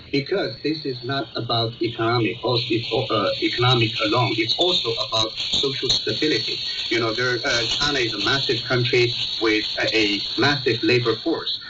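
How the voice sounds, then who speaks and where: A man reporter giving halting commentary as a guest in a radio interview, often repeating and rephrasing. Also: mid-range voice. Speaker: news reporter as panel guest. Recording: muffled shortwave radio, heavy static, distant. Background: loud shortwave static.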